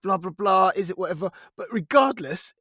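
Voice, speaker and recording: A man's voice: sits high, lively intonation, a little fast, sharp tone, husky, no echo, studio quality. A middle-aged man co-hosting a podcast, chatting casually and bantering as he goes through news. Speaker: podcast co-host.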